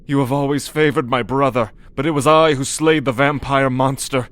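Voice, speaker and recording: A man's voice: mid-range voice, fairly even intonation, moderate pace, a bit bright, close to an everyday voice. A man playing a religious zealot in an audio roleplay, solemn, preachy and condescending. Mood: awed, pleading. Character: male religious zealot, sternly pious and condescending, craving favour. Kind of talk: scripted audio roleplay, ASMR drama.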